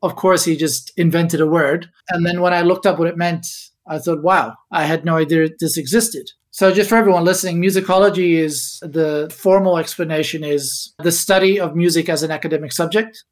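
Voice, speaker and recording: A man's voice: fairly high pitch, fairly quick, hardly any pauses. Middle-aged man telling his story. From Netherlands and Spain. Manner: relaxed storytelling, reflective. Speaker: guest, musicologist. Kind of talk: podcast interview.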